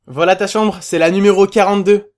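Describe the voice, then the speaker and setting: A man's voice: sits high, steady tone, fairly quick, lightly acted. A man playing a boastful, chatty dormitory chief with a casual, self-satisfied manner in an anime fan dub. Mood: informative.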